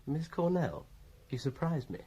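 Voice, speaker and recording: An actor playing a wry, faintly supercilious British solicitor in a radio drama, speaking with measured, arch irony. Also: male voice, fairly high pitch, sing-song, mellow, slightly rough, muffled recording, exaggerated voice. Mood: arch, amused. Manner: arch, drily ironic, measured.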